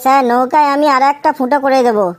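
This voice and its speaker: A woman's voice: high voice, racing, faint music behind, close to an everyday voice. A woman voicing a cheeky, silly young schoolboy in a comedy cartoon. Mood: cheeky earnest. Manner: cheeky, earnest silly answers. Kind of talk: comedy cartoon dialogue in Bengali.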